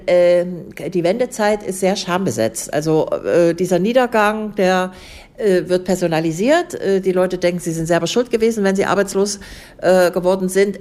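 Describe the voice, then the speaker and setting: A woman with a slightly low voice explains her view earnestly. She pauses often with hesitant fillers as she builds her argument. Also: darkish tone. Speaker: interviewee. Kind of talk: explanatory interview answers.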